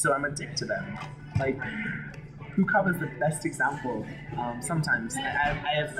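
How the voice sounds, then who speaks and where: A man's voice: mid-range voice, rough recording. A man from Hawaii telling his personal story in an interview, frank and emotional, often restarting his sentences. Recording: loud background music.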